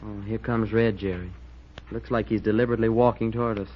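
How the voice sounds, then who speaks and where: An actor playing a chummy, teasing teenage military school cadet in an old-time radio serial, with an American accent. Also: male voice, fairly low pitch, fairly even intonation, quick pace, natural voice. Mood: confiding. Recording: dull, noisy old radio recording.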